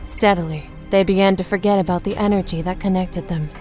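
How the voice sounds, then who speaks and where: A woman playing a serene, mystical guru of another realm in an audio comic drama, with a measured, solemn delivery. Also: fairly low pitch, steady tone, darkish tone, lightly acted. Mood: solemn storytelling. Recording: music under the voice.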